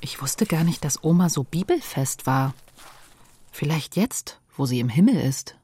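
Kim, earnest elder sister, lively intonation. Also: female voice, deep voice, measured pace. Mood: pensive. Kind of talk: German radio play acting.

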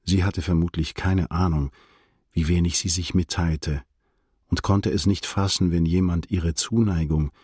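Detached prosecutor narrator, deep voice, husky, measured literary storytelling, radio drama. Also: male voice, fairly even intonation, darkish tone, no room sound, studio quality. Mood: measured, reflective. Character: reflective male state prosecutor recounting a visit to friends.

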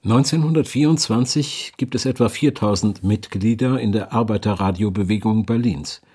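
A man's voice: on the low side, moderate pace, warm tone, slightly rough. A man reading scripted factual narration as a documentary's second narrator.

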